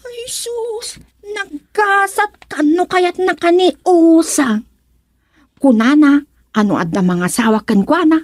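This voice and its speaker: Woman as high, swooping, teasing Ilocano village matchmaker. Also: expressive, no echo, full character voice. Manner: teasing, exclaiming, drawn-out. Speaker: actor in radio comedy drama. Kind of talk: Filipino radio drama comedy acting.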